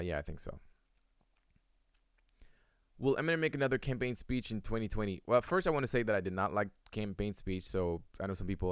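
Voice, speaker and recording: A man's voice: deep voice, clear, resonant tone, dry recording, studio quality. A young man whose first language is French, answering viewers' questions in a casual live-stream, rambling with many fillers and restarts.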